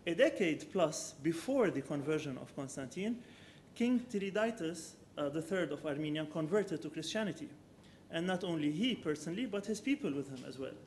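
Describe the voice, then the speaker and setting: Lecturing man with a higher voice. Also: lively intonation, a little mellow, a little hiss or hum. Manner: measured, thinking aloud, with frequent ums and self-corrections. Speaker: architecture professor giving a lecture. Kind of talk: academic lecture with slides.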